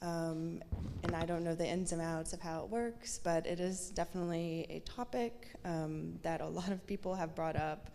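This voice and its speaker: Low-voiced woman panelist, hall reverb. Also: little pitch movement, moderate pace, gravelly. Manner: earnest, considered, uses fillers. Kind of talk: panel discussion. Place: university event hall. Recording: long hall reverb, faint background noise.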